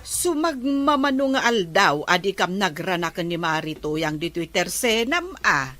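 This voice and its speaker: A woman's voice: lowish voice, lively intonation, normal speed, fairly bright tone, faint music behind. A woman acting a gossipy village woman in a comedy radio drama, with a Filipino accent. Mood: gossiping.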